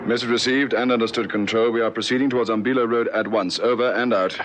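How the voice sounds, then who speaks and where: An actor playing a gruff, commanding detective sergeant in a vintage radio drama. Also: male voice, mid-range voice, fairly even intonation, quick pace, fairly bright tone, natural voice. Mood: brisk, urgent. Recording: old radio recording, vehicle noise.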